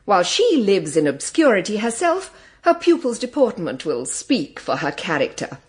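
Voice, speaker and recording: A woman's voice: mid-range voice, wildly swooping pitch, moderate pace. An actress playing a haughty, condescending middle-aged English mother with an upper-class accent and a pompous manner in a period audio drama. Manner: pompous, patronising.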